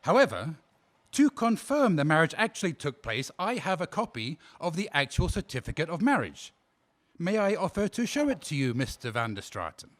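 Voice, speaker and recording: A man's voice: a little high, very animated, normal speed, a bit bright. A man playing a formal, probing defense lawyer. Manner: polite, precise, insistent questioning. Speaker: defense counsel in a courtroom drama. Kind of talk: audio drama acting.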